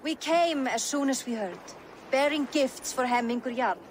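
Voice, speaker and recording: A woman's voice: high voice, lively intonation, normal speed, slight background noise. An actress playing a respectful, loyal Viking woman warrior, direct and earnest, in a video game. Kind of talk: dramatic video game cutscene acting.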